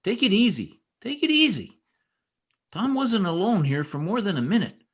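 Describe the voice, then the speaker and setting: An actor playing an earnest, insistent young police trooper in an old-time radio drama, speaking with urgent emphasis. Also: male voice, sits high, very animated, moderate pace, a bit bright, breathy edge, heavily acted.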